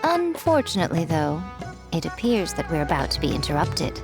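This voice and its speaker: Sly teasing editor, smooth amused drawl, lively pitch, game character, faint music. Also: female voice, brisk. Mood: wry. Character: sly, teasing adult woman editor, playful and commanding. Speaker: light novel editor. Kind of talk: video game voice acting.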